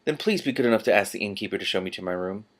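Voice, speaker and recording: A man's voice: on the low side, animated, racing, natural voice. A man reading aloud as a mild, trusting male tourist, courteous and formal. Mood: polite.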